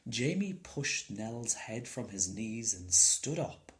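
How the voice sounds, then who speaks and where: A man's voice: on the low side, sing-song, slow pace, fairly bright tone. A man reading a children's story aloud as scripted narration. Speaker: storybook reader.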